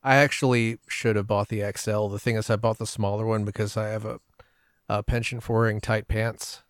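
A man's voice: fairly low pitch, little pitch movement, a little fast, clean tone, no echo, studio quality. A man in his forties talking casually as a panelist on a gaming podcast. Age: 40s.

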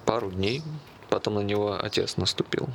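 Low, creaky deadpan man. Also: normal speed, a little hiss or hum. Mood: evasive, dryly joking. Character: Tolya, evasive, joking working-class man with a dog plan. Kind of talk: naturalistic stage play dialogue.

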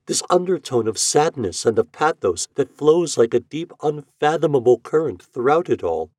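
A man voicing a grieving noble young woman in a storybook reading, in a high, emotional voice. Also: a little mellow, dry recording, clean studio sound.

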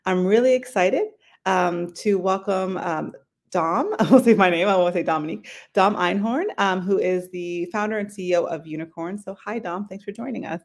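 Woman, podcast host, friendly, quick to laugh, lots of fillers, mid-pitched. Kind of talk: interview hosting.

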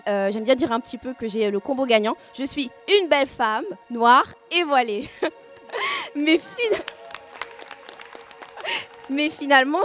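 Une jeune femme présente une émission de radio jeunesse avec une voix haut perchée. Elle parle de façon franche, personnelle et expressive, comme dans une confidence. Un léger fond musical l'accompagne. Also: female voice, high-pitched. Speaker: host. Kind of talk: youth radio show presentation.

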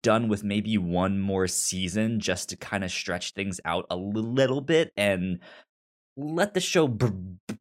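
A man's voice: on the low side, a little slow. A man hosting a casual podcast discussion, stammering and often repeating sounds and words as he talks. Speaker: podcast host.

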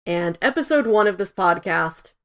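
A woman's voice: mid-range voice, animated, moderate pace, fairly bright tone. A woman co-hosting a review podcast in a casual, conversational way, peppering her sentences with "like". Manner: conversational, frequent "like" asides. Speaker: podcast co-host.

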